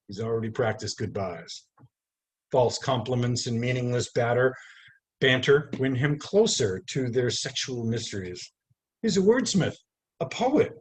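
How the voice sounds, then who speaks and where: A man reading his poetry aloud over a video call, in a deliberate, theatrical way with measured pauses. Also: medium pitch, darkish tone, husky, low-quality recording. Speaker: poet reading own work. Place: live online video call. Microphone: video call microphone.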